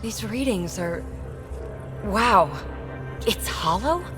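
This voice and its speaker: An actress playing an eager, curious space crewmate in a video game. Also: female voice, mid-range voice, lively intonation, slow pace, a bit bright, faint music behind. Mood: awed. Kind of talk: video game dramatic acting.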